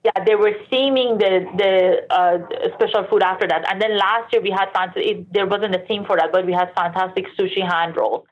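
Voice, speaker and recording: A woman's voice: medium pitch, fairly even intonation, normal speed, hardly any pauses. A woman from Iran who later moved to Canada, answering interview questions casually and conversationally, with explanations, asides and self-corrections. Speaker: interview guest, sports industry professional. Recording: dull, lo-fi band-limited sound.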